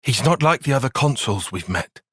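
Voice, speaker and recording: Husky, earnest young British tactician. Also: male voice, medium pitch, lively intonation, normal speed, a bit bright, no echo, clean studio sound. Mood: measured, analytical. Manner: articulate, formal, analytical phrasing. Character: earnest, cerebral young male soldier and tactician. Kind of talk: scripted video game cutscene acting.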